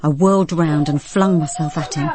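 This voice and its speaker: An actress playing a policewoman who narrates her own ordeal in a grave, measured voice for a radio drama. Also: low-pitched, fairly even intonation, a crowd in the background, close to an everyday voice. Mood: shaken, dramatic. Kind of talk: first-person dramatic narration.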